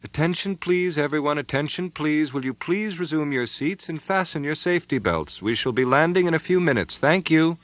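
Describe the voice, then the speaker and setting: A woman's voice: low-pitched, animated, moderate pace, few pauses, no echo, voice pushed up. A man voicing a young stewardess who talks over the radio in careful, formal phrasing. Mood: announcing. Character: young woman stewardess, earnest and steady. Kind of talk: audiobook character voice.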